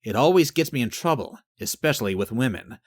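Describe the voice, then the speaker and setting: Flustered young male captain, played by a narrator: medium pitch, animated, honest, hesitant, self-deprecating. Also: fairly quick, no room sound, clean studio sound, lightly acted.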